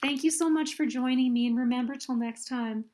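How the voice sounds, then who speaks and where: A woman hosting storytime, reading a children's picture book aloud in an expressive, deliberate way. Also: sits high, background noise.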